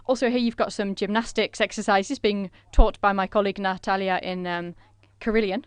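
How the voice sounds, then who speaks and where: Woman interpreter, smooth clear voice, dry close sound, measured delivery with fillers. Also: mid-range voice, steady tone, no echo. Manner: measured, with frequent filler pauses. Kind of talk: interpreting a conference presentation.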